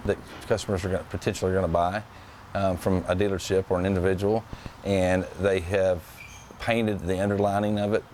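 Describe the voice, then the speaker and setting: A man working as a service advisor, giving plainspoken, halting interview answers. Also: sits low, flat delivery, a little mellow, a little hiss or hum. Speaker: auto shop service advisor.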